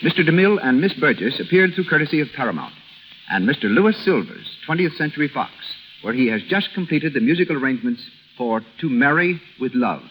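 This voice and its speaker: A man's voice: a little high, big pitch swings, brisk, fairly bright tone, slight background noise, rough recording. A man with an American accent working as a radio announcer, reading a script formally in 1930s broadcast style.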